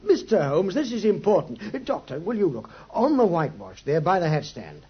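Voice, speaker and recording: High-voiced, cocky police inspector. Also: male voice, sing-song, brisk, slightly rough, full character voice. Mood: triumphant. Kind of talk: 1950s radio drama acting. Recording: dull, muffled 1950s radio recording.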